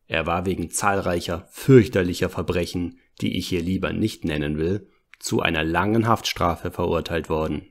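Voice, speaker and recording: An actor playing a genial, scheming older director and storyteller in a German audio play parody. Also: male voice, deep voice, normal speed. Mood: narrating.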